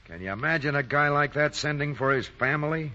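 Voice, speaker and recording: A man's voice: a little high, fairly quick, slight background noise. An actor playing a responsible, earnest young man in a 1940s radio drama. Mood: angry. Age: young adult. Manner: earnest, forceful. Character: upright, indignant, responsible grown son and fiancé. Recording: muffled old 1940s radio recording.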